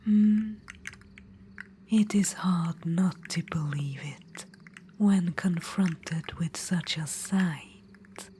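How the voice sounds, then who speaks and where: A woman voicing a possessive, menacing undead witch-queen in an ASMR roleplay, whispering softly and slowly throughout. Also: fairly low pitch, slight background noise. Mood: coaxing, menacing. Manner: soft whisper throughout, slow and intimate.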